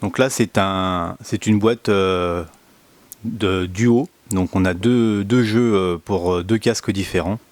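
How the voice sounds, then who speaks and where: A man giving a casual product demonstration indoors, speaking in a hesitant, halting way with many pauses. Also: sits low, no echo. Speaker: product reviewer.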